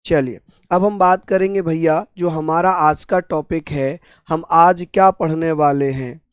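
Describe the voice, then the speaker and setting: Hoarse male teacher drilling terms. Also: on the high side, fairly even intonation, measured pace, no echo, studio quality. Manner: repeats terms, asks and answers own questions. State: hoarse, sore throat. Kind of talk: online exam-prep lecture.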